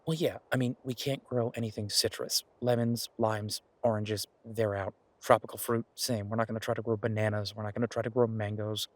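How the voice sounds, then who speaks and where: A man playing a practical restaurateur boyfriend in a roleplay audio drama, talking casually and thoughtfully with halting pauses, as if explaining a plan to a partner. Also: on the low side, flat delivery, dry recording, lightly acted. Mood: explanatory, frank. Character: male werewolf boyfriend, practical restaurateur pitching a farm plan. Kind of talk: roleplay audio drama, naturalistic one-sided conversation.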